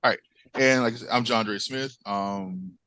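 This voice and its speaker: A man, moderating a radio panel discussion in a measured way with frequent fillers. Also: fairly low pitch, sharp tone, dry recording, poor audio. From Mississippi, US South.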